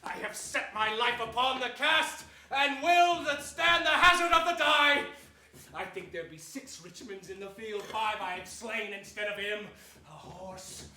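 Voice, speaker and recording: Echoey distant theatre recording, scornful warrior king, grand Shakespearean declamation, very high pitch. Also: unhurried, sharp tone, full character voice. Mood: desperate, raging. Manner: grand, booming, declamatory delivery. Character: villainous warrior king, scornful, fierce and defiant. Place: large theatre stage, indoors. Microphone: far camera. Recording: echoey theatre stage, distant mic, faint noise.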